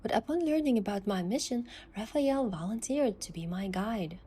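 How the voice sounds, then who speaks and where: Mellow, husky woman narrating a story. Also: medium pitch, expressive, moderate pace. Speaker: narrator and protagonist. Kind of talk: first-person scripted story narration.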